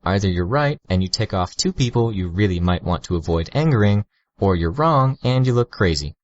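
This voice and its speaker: A man's voice: fairly low pitch, lively intonation, fairly quick, no room sound, dull audio, voice pushed up, natural voice. A man reading an audiobook, voicing a wary, guarded teenage girl with a dry, evasive way of talking.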